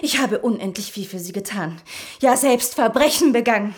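A woman's voice: a little high, animated, moderate pace, slightly rough. An actress in a German radio drama playing a scheming, seductive society woman with a haughty, theatrical manner. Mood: haughty, reproachful.

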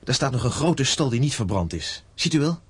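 A man's voice: mid-range voice, sing-song, fairly quick, husky, dry recording. A man acting in a Dutch radio drama as a young cattle herder, plain-spoken, blunt and a little cheeky. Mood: friendly, grateful.

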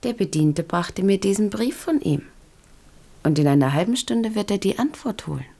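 A woman playing a young, quietly resolute courtesan in a radio play, speaking with earnest restraint. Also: medium pitch, expressive, moderate pace, vocal fry. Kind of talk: 1970s German radio play acting.

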